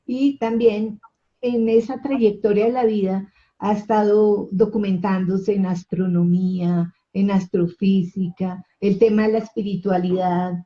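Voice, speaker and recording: A woman, speaking as a host introducing a guest. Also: mid-range voice. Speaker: host, interviewer. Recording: dull, muffled lo-fi audio.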